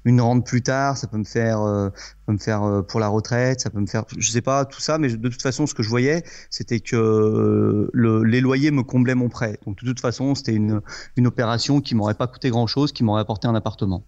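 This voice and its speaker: A man's voice: mid-range voice, steady tone, a little mellow. Plain-spoken man on a dull line. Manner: plain-spoken, halting, frank. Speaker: guest investor. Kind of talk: casual interview answers. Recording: dull lo-fi sound.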